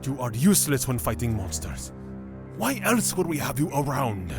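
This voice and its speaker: A man playing a gruff, condescending dragon cleric with a dry manner in a fantasy audio drama. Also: mid-range voice, big pitch swings, normal speed, over music. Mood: scornful. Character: gruff, scornful male dragon cleric, mercenary.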